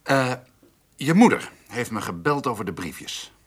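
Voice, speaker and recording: A man's voice: medium pitch, very animated, measured pace, breathy edge. An actor playing a shifty, evasive male notary in a radio play, hesitant and insinuating as he guards his reputation. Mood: discreet, probing. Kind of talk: radio drama dialogue.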